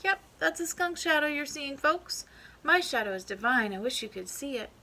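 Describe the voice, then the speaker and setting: A woman's voice: high-pitched, expressive, normal speed, bright, forceful tone, voice pushed up, full character voice, rough recording. A woman voicing comical storybook groundhog reporters who call in their sightings in an exclamatory way. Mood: comic reporting.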